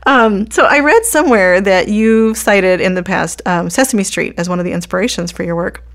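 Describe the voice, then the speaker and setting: A woman's voice: mid-range voice, normal speed. A woman hosting a conversational podcast interview. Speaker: podcast host, librarian.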